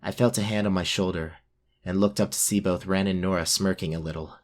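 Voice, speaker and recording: A man's voice: deep voice, fairly even intonation, brisk, natural voice. A man playing a brave but self-doubting young fantasy warrior, narrating his own story in an audio drama. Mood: earnest narration.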